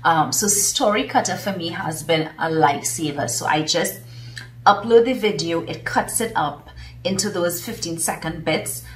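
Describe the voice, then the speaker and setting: A woman's voice: on the low side, moderate pace. A woman with a Trinidadian accent, chatting enthusiastically to camera about her favourite tools, with plenty of fillers. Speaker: vlogger and business coach. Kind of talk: casual talk to camera. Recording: roomy echo, mic at a distance.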